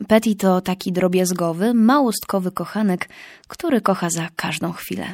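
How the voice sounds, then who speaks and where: A woman's voice: on the low side, a little fast, darkish tone, no room sound, clean studio sound. A young woman presenting music in a scripted, reflective way. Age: young adult. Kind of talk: scripted music show narration.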